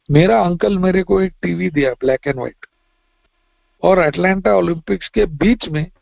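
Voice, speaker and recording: A man's voice: high voice, creaky, dry recording, dull audio. A man with a Mumbai Hindi accent sharing his recovery story at a meeting, talking plainly and slipping English phrases in. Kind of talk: personal testimony share.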